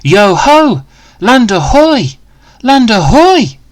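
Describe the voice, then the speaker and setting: A man's voice: very high voice, big pitch swings, slow, dry recording, pitched above the performer's own voice, exaggerated voice. A man voicing an eager, brave young boy adventurer who exclaims his lines in a storybook reading. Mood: excited shouting.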